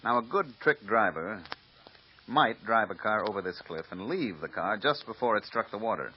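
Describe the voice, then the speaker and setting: An actor playing a knowledgeable police lieutenant giving directions in a 1940s radio drama. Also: male voice, fairly low pitch, expressive, natural voice. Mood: instructive. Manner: brisk, instructive. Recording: dull old radio recording, faint hiss.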